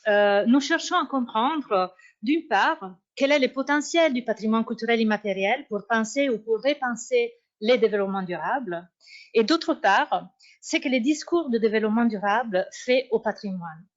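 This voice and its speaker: A woman with as her language, giving a formal academic conference talk and often pausing to search for words. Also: on the high side. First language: Italian. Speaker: university researcher. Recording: dull lo-fi sound.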